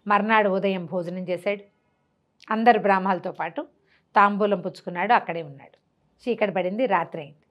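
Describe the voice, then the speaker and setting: A woman's voice: mid-range voice, a little fast, fairly bright tone. Conversational woman storyteller telling a tale. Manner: conversational, asks rhetorical questions, acts out dialogue. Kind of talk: animated oral storytelling of a traditional tale.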